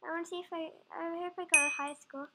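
A child gamer, sniffly from a runny nose, giving excitable casual commentary while playing. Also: female voice, extremely high pitch, fast. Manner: excitable, squeals and groans at the game. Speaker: gameplay commentator. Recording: loud background noise.

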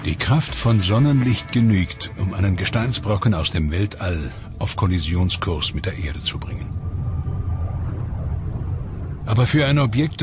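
A man reading scripted narration for a science documentary. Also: fairly low pitch. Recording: music under the voice. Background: background music.

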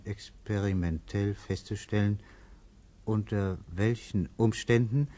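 A man reading interludes as a radio play narrator, measured and grave. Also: on the low side, mellow. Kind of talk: solemn scripted radio narration.

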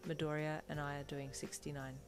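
A woman voicing an earnest, naive teenage boy in a storybook reading, speaking in a flat, formal, deliberate way. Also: low voice, soft background music, close to an everyday voice. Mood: earnest, deadpan.

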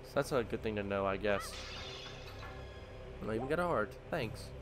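Let's-play host, man, a bit nasal and low-pitched, rambling commentary over steady game music. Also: normal speed. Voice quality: slightly nasal from allergies. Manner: rambling, thinking aloud with many ums. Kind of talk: casual gameplay commentary.

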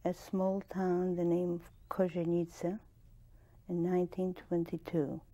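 A woman in her sixties from Poland with a Polish accent, recalling her past at a steady pace in a recorded oral history interview, with restarts and soft laughs, on a lapel mic in a studio. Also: fairly low pitch. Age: 60s. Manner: measured, plain-spoken, with soft laughs and restarts. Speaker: interviewee, Holocaust survivor. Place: studio with dark curtain backdrop.

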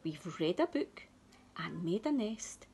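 A woman voicing a gentle, patient mother bear at bedtime, with lilting questions and thoughtful pauses. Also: lowish voice, big pitch swings, slightly rough, full character voice. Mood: puzzled, thinking.

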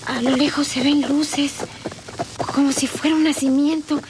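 A woman's voice: high voice, little pitch movement, normal speed, lightly acted. An actress playing a wounded, resolute young woman in a suffering, melodramatic radionovela monologue. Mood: pained, determined. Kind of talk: old Spanish-language radionovela monologue. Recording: noisy old radio drama with clicks.

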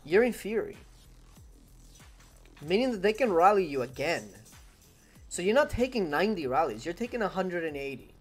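A man's voice: high voice, big pitch swings, moderate pace, long pauses, breathy edge, faint music behind. Young man with a Spanish accent. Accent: Spanish-speaking. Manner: repeats phrases, talks to his dog mid-game. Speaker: gaming streamer. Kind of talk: casual live-stream chat with viewers. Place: home room at a desk.

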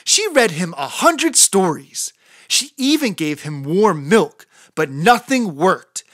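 A woman reading a children's storybook aloud in an expressive narrating style. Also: fairly low pitch, takes it slowly, dry recording, studio quality.

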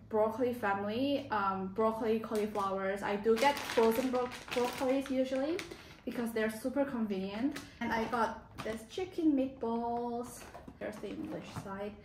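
A woman's voice: a little high, normal speed. A young adult woman vlogger chatting casually and informally to the camera in a home kitchen. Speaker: lifestyle vlogger. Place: small home kitchen, indoors. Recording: echoey room, clear background noise.

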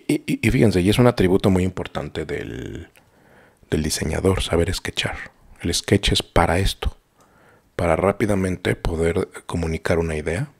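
A middle-aged Mexican man teaches a live-streamed art class from a small home studio. His voice sits very low, with a husky, gravelly grain. He rambles warmly to his students, full of fillers and restarts. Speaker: art instructor.

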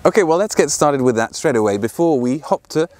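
A man's voice: on the high side, lively intonation, brisk, darkish tone. A man in his thirties teaching ukulele in a casual, playful, chatty way, outdoors in an open field. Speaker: ukulele tutor and video host. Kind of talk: casual music tutorial with sung examples. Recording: ukulele played alongside the voice. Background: ukulele strumming and plucking.

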